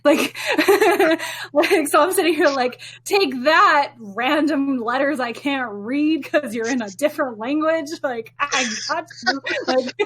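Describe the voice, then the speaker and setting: High-voiced, enthusiastic woman chatting casually. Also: animated, slow pace, slight background noise. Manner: informal, enthusiastic, dramatic exaggeration. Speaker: podcast panelist. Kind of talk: casual, animated gaming chat.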